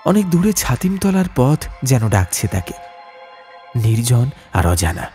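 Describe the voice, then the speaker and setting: A man narrating a horror audiobook as a storyteller, with suspenseful, atmospheric delivery and deliberate phrasing. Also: mid-range voice, big pitch swings, dark tone, faint music behind.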